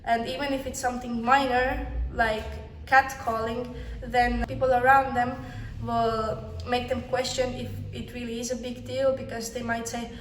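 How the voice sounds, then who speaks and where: High, even-voiced woman from Estonia, echoey room. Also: moderate pace. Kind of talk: interview answers. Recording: echoey room, faint background noise.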